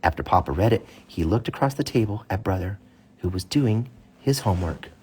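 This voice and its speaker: A man with a husky voice, a father reading a storybook aloud to his child with casual asides. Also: fairly low pitch, expressive, brisk, darkish tone, slight background noise. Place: child's bedroom, indoors.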